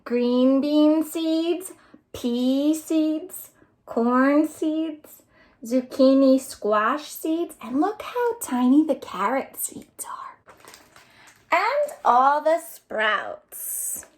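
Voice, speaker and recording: A woman's voice: high voice, lively intonation, extremely slow. A woman hosting a children's storytime, reading aloud in an exaggerated, sing-song way for young listeners. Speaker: children's librarian, storytime host. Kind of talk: children's storytime, read-aloud and singing along.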